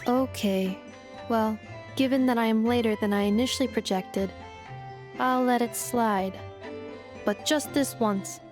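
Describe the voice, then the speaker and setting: A woman voicing a composed, dutiful female robot caretaker in a video game, speaking in a formal, measured, deadpan way. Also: on the high side, music in the background, lightly acted.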